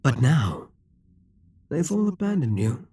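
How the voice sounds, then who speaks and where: A man's voice: on the high side, sing-song, slow pace, warm tone, husky, no echo, full character voice. A man voicing a possessive, scheming male demon king in an audio roleplay, teasing and condescending. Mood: scornful.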